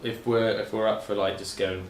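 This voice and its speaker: Low male voice, distant and echoey. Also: level tone, brisk. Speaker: tabletop game player. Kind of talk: casual group chat. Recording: echoey, distant mic, faint noise.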